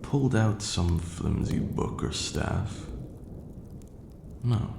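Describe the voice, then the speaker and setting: Man playing a deep, gravelly vampire lord. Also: lively intonation, exaggerated voice. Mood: lecturing, assured. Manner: measured, formal archaic diction, condescending yet doting. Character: ancient vampire lord, male, haughty yet tender mentor. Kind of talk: slow intimate ASMR roleplay acting. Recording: roomy echo, faint background noise.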